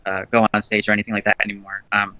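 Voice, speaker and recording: A man co-hosting a livestream, chatting casually with lots of fillers and restarts about a naturally low voice. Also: completely flat delivery, racing, no room sound, phone-quality sound. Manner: rambling, with many fillers and restarts. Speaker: livestream co-host.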